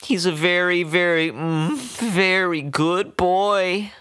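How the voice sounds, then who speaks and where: A man voicing an unhinged, doting woman who fusses over her pet in a high, breathy, wavering voice. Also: takes it slowly, a bit bright, dry recording. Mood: cooing.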